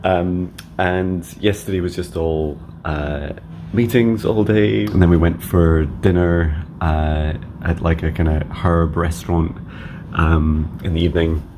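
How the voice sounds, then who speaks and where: Very deep, flat-voiced man. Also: background noise. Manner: soft, slow affirmations; chatty with many ums in updates. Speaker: psychic mentor. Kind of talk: meditation messages and video diary updates.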